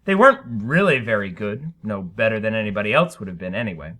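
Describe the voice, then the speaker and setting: Male story narrator, dramatic read, bright tone, very varied intonation. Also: lowish voice, animated, fast talker. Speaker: story reader.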